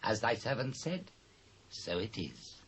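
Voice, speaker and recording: A British actor playing a shrewd royal counsellor in a dramatised Bible, with formal archaic phrasing. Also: male voice, on the low side, wildly swooping pitch, measured pace, gravelly, fairly bright tone, slight background noise, full character voice. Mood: earnest reassurance. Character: shrewd male royal counsellor reassuring his king.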